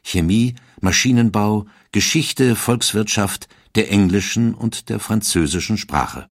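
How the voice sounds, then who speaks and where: A man narrating a historical audiobook in a steady, formal way. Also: lowish voice, measured pace, no echo, studio quality. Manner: steady, formal storytelling delivery.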